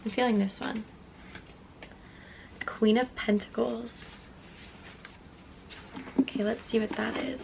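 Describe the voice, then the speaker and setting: A woman's voice: on the high side, fairly even intonation, takes it slowly, vocal fry, pauses often, darkish tone, a little hiss or hum, low-quality recording. A young woman with a stuffy, sniffly nose, talking candidly and reflectively to her camera in a casual vlog. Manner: candid, self-questioning, trailing off. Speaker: vlogger.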